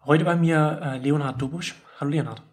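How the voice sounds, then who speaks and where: A man hosting a podcast interview in casual conversation, feeling his way haltingly through questions and repeating words. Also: fairly high pitch, fairly even intonation, music in the background.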